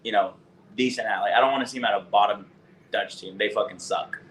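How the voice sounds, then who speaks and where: A man's voice: lowish voice, low-quality recording. A man in his twenties from Los Angeles, raised in a Spanish-speaking home, joking and restarting as he chats on a soccer podcast. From Los Angeles, California. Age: 20s. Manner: animated, joking, quick restarts. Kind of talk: casual podcast chat.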